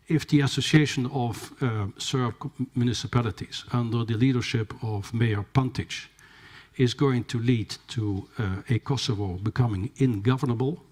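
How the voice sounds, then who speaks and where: A man giving a formal conference talk, measured and hesitant, with fillers and restarts. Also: medium pitch, darkish tone. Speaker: conference speaker. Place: conference hall.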